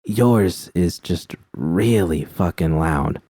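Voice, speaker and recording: A steady, reassuring young woman mercenary, voiced by a man in his own low register. Her lines come calm and gentle, with a mellow tone. The sound is dry and close. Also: slow pace, dry recording, heavily acted.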